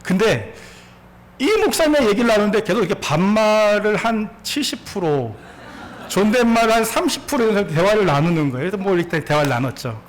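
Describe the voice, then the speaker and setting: A pastor in his forties, a man preaching a sermon. His voice sits high and swings up and down a great deal. He tells his story with laughter and keeps asking the congregation questions. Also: lively intonation, fairly quick. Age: 40s.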